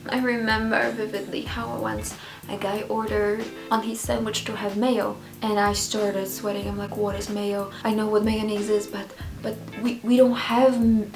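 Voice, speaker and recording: A woman in her twenties from Poland, a native Polish speaker with a Polish accent, chatting casually and a little hesitantly to camera as a vlogger. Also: on the high side. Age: 20s. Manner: hesitant, slightly nervous. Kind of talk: casual sit-down chat to camera. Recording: roomy indoor echo.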